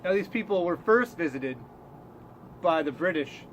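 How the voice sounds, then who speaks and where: A man's voice: a little high, animated, normal speed, slight background noise. A man co-hosting a comedic news show in loose, profane banter with a mock-serious manner.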